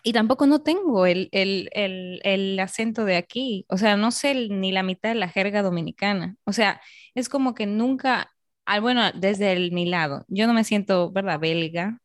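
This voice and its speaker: A woman from Peru hosting a casual conversation, speaking thoughtfully with pauses. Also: mid-range voice, no room sound, studio quality.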